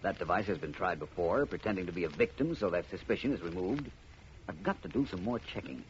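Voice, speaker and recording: An actor playing a shrewd, confident gentleman detective in a vintage radio mystery, crisp and commanding. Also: low voice, lively intonation, quick pace. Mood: assured, explaining. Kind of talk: 1950s radio drama acting. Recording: muffled vintage radio recording, faint noise.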